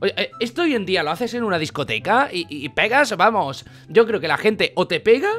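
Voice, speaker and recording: A man's voice: high voice, big pitch swings, brisk, a bit bright. A man in his twenties with an accent from Spain, doing casual, jokey reaction commentary as a YouTuber and repeating words for effect. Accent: Spanish (Spain). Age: 20s. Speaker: YouTuber host. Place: small bedroom, indoors. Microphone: desk condenser microphone, close.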